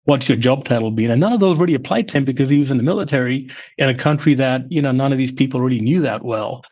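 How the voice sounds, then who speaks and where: A middle-aged man from England who now talks with a slightly Midwestern American accent, giving measured explanations as an interview guest. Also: medium pitch, darkish tone, lo-fi sound. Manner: measured, explanatory, frequent "uh" fillers. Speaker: guest, former finance chief. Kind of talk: podcast interview.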